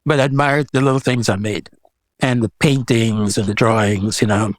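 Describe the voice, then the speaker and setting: A man's voice: on the low side, steady tone, normal speed, dry recording, studio quality. A man, speaking reflectively and casually as an artist being interviewed. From Guyana. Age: 70s. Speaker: guest, visual artist.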